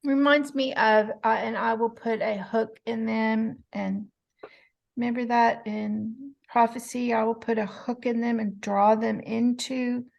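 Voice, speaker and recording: A woman in a casual study group, recalling related passages with pauses. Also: on the high side, steady tone, gravelly, muffled recording.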